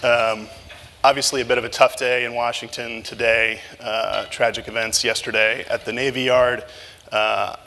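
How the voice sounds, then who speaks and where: A man moderating a live breakfast event, talking loosely with many filler pauses as he asks his guests questions. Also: mid-range voice, sharp tone, long reverb tail, a little hiss or hum. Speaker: moderator and journalist. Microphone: handheld mic.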